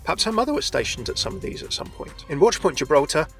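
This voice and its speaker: A man's voice: on the high side, very animated, fast talker, a bit bright, faint music behind. A man hosting a scripted game lore video, chatty and often addressing his viewers directly.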